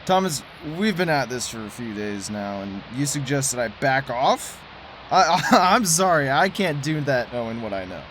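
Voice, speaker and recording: A man's voice: medium pitch, big pitch swings, moderate pace, a bit bright, a little hiss or hum. A man playing a sleepless, jittery conspiracy theorist. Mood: determined. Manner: jittery, stammering, nervous laughs. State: exhausted from lack of sleep. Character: sleepless male conspiracy theorist, stubborn, principled. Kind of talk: audio drama acting.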